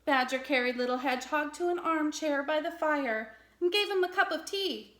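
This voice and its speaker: A woman reading a children's story aloud in an expressive narrating style. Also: high voice, moderate pace. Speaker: storybook reader.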